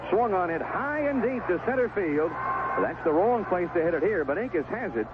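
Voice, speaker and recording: High-pitched man calling baseball on tinny old radio. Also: big pitch swings, normal speed. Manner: clipped phrases, descriptive call of each pitch. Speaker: radio baseball play-by-play announcer. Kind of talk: live sports commentary, 1970s radio. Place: outdoor ballpark broadcast booth. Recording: noisy, tinny narrowband vintage radio broadcast.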